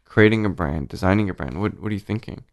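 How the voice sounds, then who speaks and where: A man with a deep voice hosting a casual interview, laid-back and jokey, swearing now and then. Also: fast talker. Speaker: podcast host.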